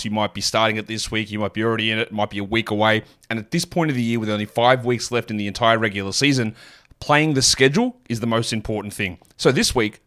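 A man's voice: medium pitch, a bit bright. A man delivering a scripted sports advice segment as a fantasy basketball analyst.

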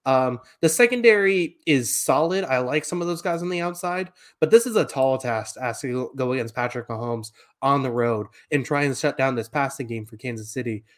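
A man's voice: mid-range voice, brisk, hardly any pauses. A man co-hosting a sports podcast, talking through football analysis in a conversational way.

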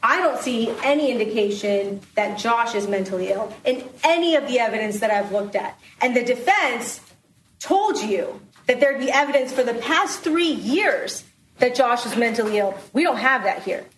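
A woman's voice: a little high, animated, normal speed, recorded from a distance. A woman prosecutor giving a closing argument in court, putting rhetorical questions to the jury. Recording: roomy courtroom with faint noise.

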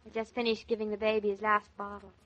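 An actress playing a playful, teasing young newlywed wife in a 1940s radio comedy-drama. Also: female voice, medium pitch, level tone, fast talker, natural voice. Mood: playful, breezy. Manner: teasing, mock-indignant, coaxing. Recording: dull 1940s radio transcription recording.

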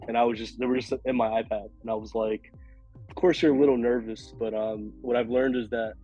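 A man with a raspy, hoarse voice from a cold, rambling casually as a podcast guest with many fillers. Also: medium pitch, fairly even intonation, brisk, warm tone, lo-fi sound, faint music behind. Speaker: podcast guest, food illustrator.

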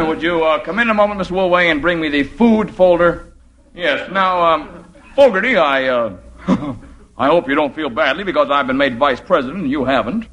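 An actor playing a flustered, touchy werewolf who poses as a slick advertising executive, hemming and clearing his throat in a radio comedy sketch. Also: fairly high pitch, lively intonation, moderate pace, fairly bright tone, dull audio. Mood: flustered, officious. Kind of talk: 1950s radio comedy sketch acting and narration. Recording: noisy vintage 1950s radio recording.

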